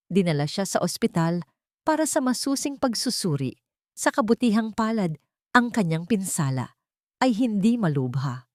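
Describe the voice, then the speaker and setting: Filipina woman narrating a drama story, lowish voice, super dry sound no echo. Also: darkish tone.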